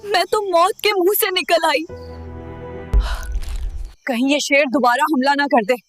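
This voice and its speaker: A woman's voice: high-pitched, lively intonation, a little slow, soft background music. A woman voicing a frightened, bewildered teenage village girl in a dramatised moral story. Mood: frightened, shaken.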